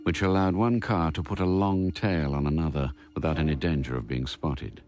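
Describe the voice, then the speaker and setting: An actor as the composed narrator of a radio spy thriller, reading scripted narration in a measured, precise way. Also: male voice, extremely low pitch, darkish tone.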